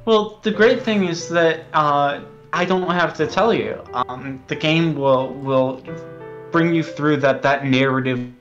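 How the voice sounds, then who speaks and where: A man's voice: a little high, slow, fairly bright tone, dull audio. A man explaining his game as its developer, earnest and hesitant, often stumbling and repeating words. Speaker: game developer being interviewed. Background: music.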